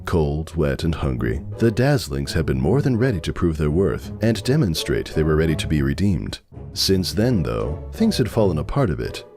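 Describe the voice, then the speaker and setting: A man's voice: a bass voice, big pitch swings, normal speed, over music. A man giving a scripted dramatic reading of a story as its narrator.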